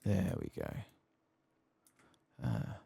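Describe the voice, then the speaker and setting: A soft-spoken man with a gravelly, husky voice, giving casual ASMR commentary as he plays a game. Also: very slow, no echo. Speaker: game streamer. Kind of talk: soft-spoken ASMR gaming commentary, reading quest text aloud.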